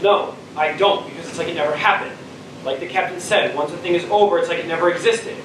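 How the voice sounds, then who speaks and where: A young male actor as a mean middle-aged astronaut, bitter and taunting in a high voice. The theatrical delivery rings through a very echoey space, picked up from far off. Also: fast, close to an everyday voice. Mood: bitter, scornful. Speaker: student actor. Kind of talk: theatrical stage acting. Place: stage of a school theater. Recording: echoey stage, distant mic, faint noise.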